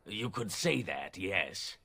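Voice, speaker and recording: A man's voice: sits low, very animated, takes it slowly, vocal fry, pushed and bright, husky, heavily acted. A man voicing a greedy, haughty merchant in a fantasy video game, defensive and full of indignant bluster. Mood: wheedling. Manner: indignant, self-justifying bluster.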